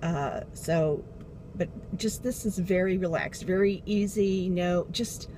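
A woman's voice: lowish voice, takes it slowly, warm tone. A middle-aged woman chatting casually while she drives, rambling and losing her train of thought as she goes. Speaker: beauty vlogger. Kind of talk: casual chat. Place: inside a car while driving. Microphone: dashboard camera. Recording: car engine and road noise.

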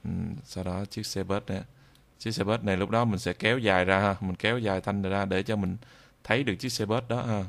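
A man narrating an informal software tutorial in a halting way, with frequent drawn-out hesitations. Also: on the low side, steady tone. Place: indoors at a computer, screen recording voice-over.

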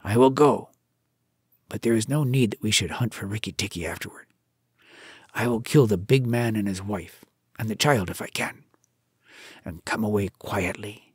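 A narrator voicing a cold male cobra, deliberate and menacing, in a storybook. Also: mid-range voice, slightly rough, dry recording, studio quality. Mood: cold resolve.

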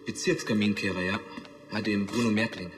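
An actor playing an earnest, anxious young apprentice in a TV drama, hesitant and worried. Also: male voice, fairly low pitch, steady tone, fairly quick, roomy sound, music in the background, natural voice. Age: young adult. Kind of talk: naturalistic TV drama acting.

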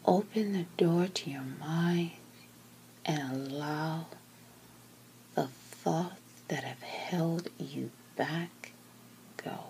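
A woman leads a guided meditation in a calm, gentle, breathy voice that often sinks to a near-whisper, speaking in short phrases with long pauses. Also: deep voice, slight background noise, poor audio. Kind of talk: slow guided meditation, soothing scripted narration.

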